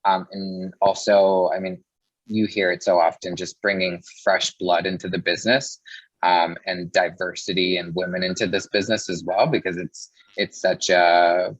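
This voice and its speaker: A man's voice: low-pitched, little pitch movement, normal speed, dry recording, dull audio. A man answering casually as an interview guest and company co-founder, reflective and informal in his phrasing.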